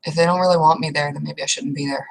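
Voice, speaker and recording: Female player as weary young werewolf woman: low register, hesitant delivery, blunt and conflicted, improvised tabletop-style roleplay. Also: fairly even intonation, gravelly, rough recording. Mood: resigned, unsure. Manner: hesitant, halting, coarse-mouthed. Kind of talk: improvised in-character roleplay monologue.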